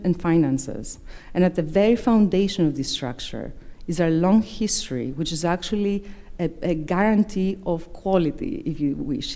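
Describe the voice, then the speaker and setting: Low-voiced Greek-born woman presenting. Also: warm tone. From Greece. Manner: measured, with frequent fillers and self-corrections. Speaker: executive director presenting annual report. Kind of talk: presentation to members.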